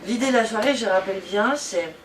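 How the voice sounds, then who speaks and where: Femme qui anime une réunion, salle résonnante. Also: female voice, mid-range voice, fairly quick, a little hiss or hum. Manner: explaining plans, leading the group. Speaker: event organiser. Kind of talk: planning meeting. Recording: echoey room from far off with crowd.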